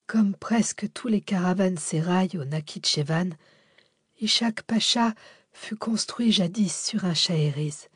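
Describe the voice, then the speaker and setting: A man's voice: sits high, no echo, clean studio sound. A woman voicing an old widowed benefactor, weakened and whispery, confiding secrets with pride and tenderness. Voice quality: whispery, breathy, faint.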